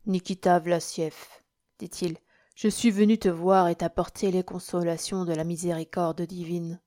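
A woman voicing a kindly, shrewd male village priest in an audiobook, gently admonishing and measured. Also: on the low side, level tone, close to an everyday voice. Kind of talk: audiobook character acting.

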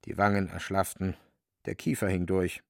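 Man, gravelly voice, dry acoustics, scripted storytelling, radio drama narrator. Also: low-pitched, level tone, moderate pace, no echo.

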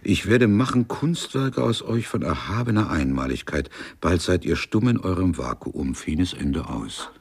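An actor playing a formal, dryly ironic police detective in a German radio crime comedy, speaking in a courteous, deliberate way. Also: male voice, low-pitched, sing-song, heavily acted. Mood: declaiming. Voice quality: gravelly, husky. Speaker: police investigator questioning a witness.

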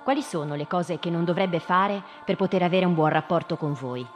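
An actress playing a woman who questions children gently and insistently in short probing questions, in a live radio drama. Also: on the low side, normal speed, highs cut off, natural voice. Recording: reverberant hall with faint music. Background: faint music.

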